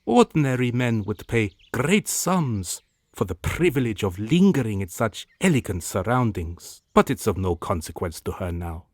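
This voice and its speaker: Lively, gossipy servant man, acted, close and dry. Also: on the low side, normal speed, no room sound. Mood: musing. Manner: theatrical, inquisitive. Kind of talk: radio drama acting.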